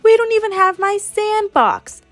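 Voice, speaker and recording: A woman's voice: very high-pitched, a little slow, no room sound, soft background music, pitched above the performer's own voice, heavily acted. A young woman voicing an eager, insistent little boy in a picture book, with an emphatic delivery. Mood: insistent, protesting. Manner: excitable, emphatic.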